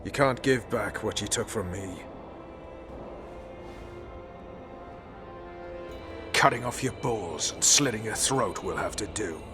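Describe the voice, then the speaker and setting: A man's voice: lowish voice, normal speed, over music, close to an everyday voice. A male actor playing a hard, vengeful special forces commander in a video game, speaking in a stern, blunt, resolute manner. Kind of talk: dramatic video game acting.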